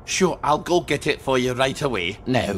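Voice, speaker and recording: An actor playing a gruff, haunted ex-soldier in a video game, blunt and sardonic. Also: male voice, mid-range voice, lively intonation, normal speed, fairly bright tone, faint music behind, close to an everyday voice.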